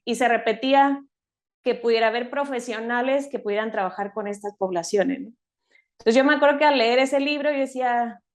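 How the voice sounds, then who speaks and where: A woman with a mixed Chilean and Mexican accent speaks as an interview guest. Her voice sits slightly high. She tells her story thoughtfully and often checks in with little tag questions. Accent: mix of Chilean and Mexican Spanish. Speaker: podcast guest, mother and counselor.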